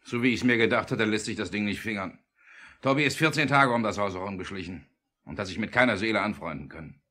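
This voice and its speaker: An actor playing a tough, blunt, possessive burglar in a 1950s radio play, talking gruffly. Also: male voice, fairly low pitch, quick pace, sharp tone. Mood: grumbling, matter-of-fact.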